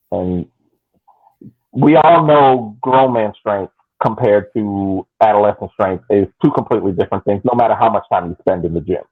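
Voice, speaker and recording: A man co-hosting a sports podcast, arguing his points emphatically. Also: on the low side. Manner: emphatic, argumentative. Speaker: podcast co-host. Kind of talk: casual chat. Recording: tinny phone-like line.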